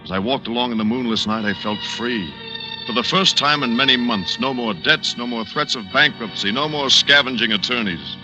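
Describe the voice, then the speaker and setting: An actor playing a cold, calculating pilot who narrates a 1940s radio thriller in a clipped, deliberate, dramatic way. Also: male voice, medium pitch, natural voice. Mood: quietly relieved. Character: cold, calculating male pilot plotting his own faked death. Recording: muffled old radio recording, loud music behind.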